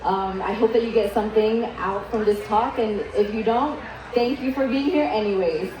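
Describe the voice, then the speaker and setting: A woman's voice: medium pitch, normal speed, background noise. A young woman from Vietnam, whose first language is Vietnamese, giving a keynote speech and telling her story in a candid, casual way with many "likes" and "ums". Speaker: keynote speaker. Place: event hall. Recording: echoey event hall.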